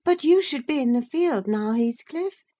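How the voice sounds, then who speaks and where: A woman reader voicing a petulant, irritable young woman who sounds high-pitched and demanding. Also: expressive, normal speed, darkish tone. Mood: evasive.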